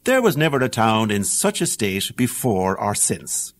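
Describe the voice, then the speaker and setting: A man with an Irish accent narrating a fairy tale audiobook in a lilting, animated storytelling manner. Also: fairly low pitch, a little slow.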